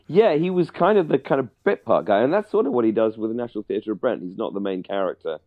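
A man's voice: on the low side, lively intonation, quick pace, dry recording, narrow, tinny audio. A middle-aged man with an English accent co-hosting a podcast, chatting casually and informally about a radio drama, often searching for words. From England. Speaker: podcast co-host.

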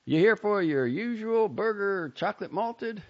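A man's voice: high-pitched, lively intonation, a little slow, highs cut off, pitched above the performer's own voice. A man voicing a nervous teenage boy working a counter, talking in a strained, flustered way.

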